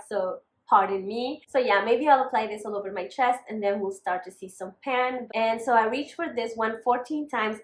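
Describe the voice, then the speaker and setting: A young woman with a northern Mexican accent, chatting candidly in a casual makeup vlog with apologetic asides. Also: fairly high pitch. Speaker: beauty vlogger. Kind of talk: casual makeup update talking to camera. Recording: echoey room.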